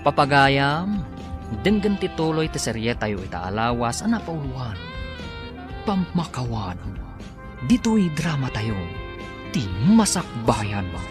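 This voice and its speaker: An Ilocano male radio drama announcer with a mid-pitched voice. He narrates dramatically from a script over clearly heard music. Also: big pitch swings, slow, long pauses. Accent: Filipino, Ilocano.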